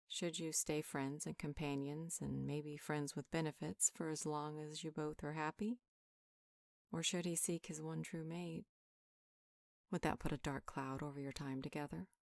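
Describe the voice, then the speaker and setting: A woman performs a calm female healer for a fantasy roleplay audio. Her voice sits low and is recorded dry and close to silent of any room. She counsels in a measured, conversational way, reasoning things through and reassuring as she goes. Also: gravelly, dry recording. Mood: thoughtful, questioning. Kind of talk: conversational roleplay monologue, one side of a dialogue.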